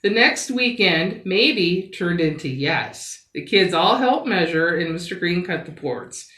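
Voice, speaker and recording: A woman reading a picture book aloud expressively, with dramatic pauses and emphasis. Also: lowish voice, expressive, fairly bright tone. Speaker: children's story reader. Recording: roomy, echoing sound.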